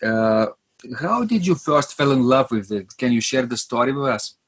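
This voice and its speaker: A man hosting a podcast interview, asking questions hesitantly with many pauses and fillers. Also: medium pitch, expressive, breathy edge. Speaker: podcast host.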